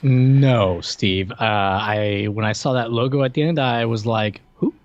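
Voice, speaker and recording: A man's voice: mid-range voice, unhurried, lo-fi sound. A man co-hosting a podcast, chatting casually about film trivia. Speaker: podcast co-host.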